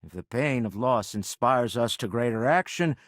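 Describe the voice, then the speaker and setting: An older man playing a wealthy, pragmatic businessman in a video game, speaking in an articulate, wry, measured way. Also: medium pitch, animated, dry recording, clean studio sound, close to an everyday voice. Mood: subdued. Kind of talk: video game character dialogue.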